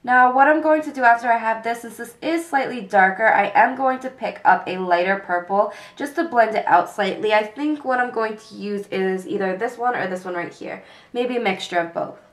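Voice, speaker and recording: A woman's voice: fairly high pitch, moderate pace. Congested young woman explaining makeup. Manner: calm step-by-step explaining with fillers. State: congested from a cold. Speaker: beauty vlogger. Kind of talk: makeup tutorial narration.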